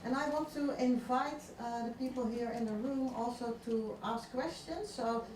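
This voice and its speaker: A woman moderating a conference session and live scan, calm and measured as she asks probing questions. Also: fairly high pitch. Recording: distant mic in an echoey hall with noise.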